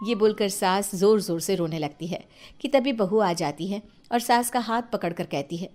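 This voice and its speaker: This is a woman narrating a scripted story. Her voice sits at a middle pitch. She delivers it as a storyteller. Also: normal speed, a little mellow.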